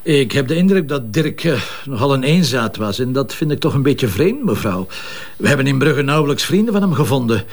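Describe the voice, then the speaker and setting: An actor playing a measured, persistent police commissioner in a Flemish crime drama, questioning formally with a Flemish accent. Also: male voice, mid-range voice, big pitch swings. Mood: probing.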